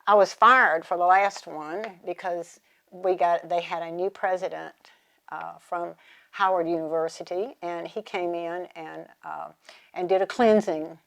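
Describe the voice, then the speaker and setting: Older American woman with a lowish voice. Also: fairly even intonation, a little slow, gravelly, a bit bright. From southern United States. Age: 70s or older. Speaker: speaker giving personal testimony. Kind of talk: reflective personal testimony, partly prepared.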